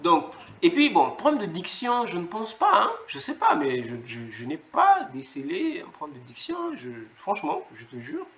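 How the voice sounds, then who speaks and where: A higher-voiced man leads a public speaking class with direct, encouraging instructions, often repeating himself. The sound is dull and roomy, with a faint hiss underneath. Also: wildly swooping pitch, moderate pace, hardly any pauses. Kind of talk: coaching a class.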